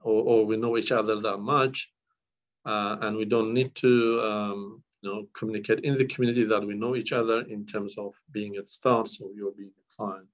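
Hesitant man, low voice. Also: fairly even intonation, moderate pace. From northern Iraq. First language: Kurdish. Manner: hesitant, many fillers and restarts. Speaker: counsellor. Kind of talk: podcast interview. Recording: dull lo-fi audio.

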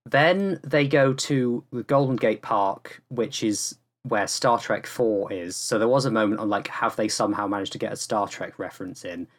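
A man's voice: fairly low pitch, normal speed, few pauses. A man chatting casually as a podcast panelist, with hesitant, rambling phrasing.

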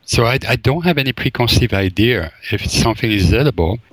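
A man's voice: mid-range voice, expressive, a little fast, breathy edge, no echo. A man from Belgium, a forager and chef, answering interview questions thoughtfully, with many fillers and restarts. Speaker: forager and wild food chef, guest.

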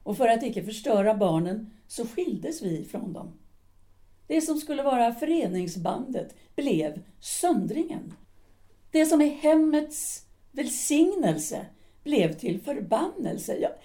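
A woman's voice: on the high side, sing-song, mellow. A woman playing a bitter, weary wife. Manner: bitter, despairing. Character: weary embittered middle-aged wife, former actress. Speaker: actor. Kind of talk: audio drama acting.

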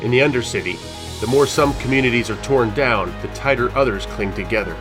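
An actor as the solemn narrator of a fantasy cyberpunk audio drama, with measured, scripted delivery. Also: male voice, mid-range voice, music in the background, close to an everyday voice. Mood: solemn, intriguing.

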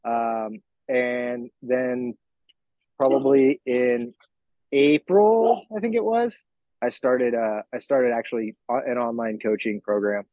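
A man's voice: medium pitch, mellow, no echo. Dull-sounding American man, forties, talking candidly. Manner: reflective, candid, halting as he picks words. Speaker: fitness coach, podcast guest. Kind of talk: informal interview, personal storytelling.

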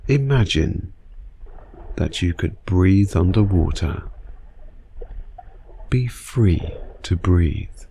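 A man narrating a scripted guided sleep meditation in a soothing, unhurried manner with long pauses. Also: deep voice, animated, creaky, warm tone, a little hiss or hum.

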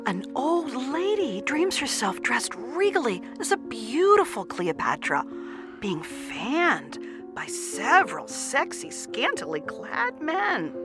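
A woman playing an excitable, amused onlooker who theatrically describes sleepers' dreams in a radio drama. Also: high voice, slow pace, over music, full character voice. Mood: amused, excited.

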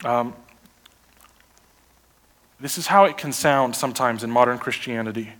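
A man preaching a sermon as pastor, animated and full of rhetorical questions to the congregation. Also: medium pitch, measured pace.

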